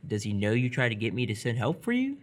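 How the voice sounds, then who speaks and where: Teenage boy played by a man, nervous, inquisitive, earnest, animated pitch, stumbling delivery for radio theatre. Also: fast talker. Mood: curious, nervous. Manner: hesitant, rambling, earnest. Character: Nordal, awkward, earnest, inquisitive seventeen-year-old boy. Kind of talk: live radio theatre acting.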